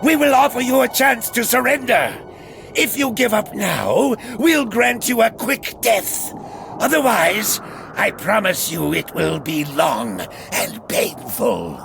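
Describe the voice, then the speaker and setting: A man's voice: high voice, lively intonation, slow, gravelly, over music, full character voice. A man playing a cold, cruel enemy commander in a video game cutscene. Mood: threatening.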